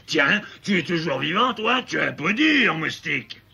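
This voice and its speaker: Gravelly, pompous cartoon tyrant. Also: male voice, fairly high pitch, big pitch swings, moderate pace, bright, forceful tone, slight background noise, heavily acted. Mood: gloating, menacing. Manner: pompous, lordly. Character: blustering boozy tyrant villain animal, mocking and cruel. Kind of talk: French cartoon dub acting.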